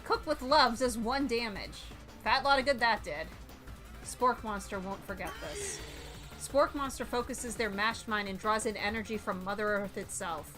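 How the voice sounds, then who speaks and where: Bright, high-voiced woman streaming a game. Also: moderate pace, soft background music. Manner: animated, exclaiming reactions. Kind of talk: playful let's-play, reading game text aloud.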